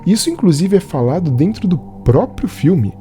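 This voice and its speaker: A man's voice: high-pitched, big pitch swings, moderate pace, mellow, faint music behind. A man with a Brazilian accent giving a conversational scripted narration as a film reviewer. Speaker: video essayist and film reviewer.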